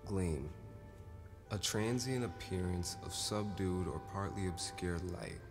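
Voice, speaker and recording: An actor playing a gentle, caring, protective man in a stage drama reading. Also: sits low, slow, big-room sound, music in the background. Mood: casual.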